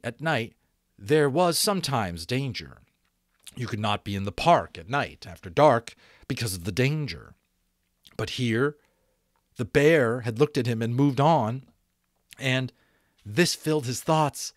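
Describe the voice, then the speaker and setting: A man in his thirties reading a novel aloud as dramatic narration, with deliberate pauses and stressed repeats, close to a microphone. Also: mid-range voice, lively intonation, no room sound. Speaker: audiobook reader. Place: small room at home. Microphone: desk microphone close in front.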